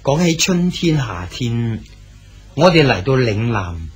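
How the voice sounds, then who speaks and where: A man's voice: mid-range voice, slow, husky, full character voice. An actor playing an exiled male poet official in his sixties, easygoing and consoling in a radio drama. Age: 60s. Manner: calm, good-humoured, consoling.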